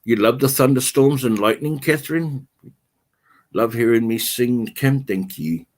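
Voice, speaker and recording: Slow-talking New man hosting. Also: mid-range voice. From New Zealand. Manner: answers viewer comments one by one, halting and unhurried. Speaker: livestream host. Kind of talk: casual livestream chat.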